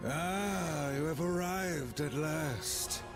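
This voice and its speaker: Slow, gloating villain. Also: male voice, on the high side. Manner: smug, drawn-out gloating. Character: gloating power-hungry male villain leader. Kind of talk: video game cutscene acting. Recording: music behind.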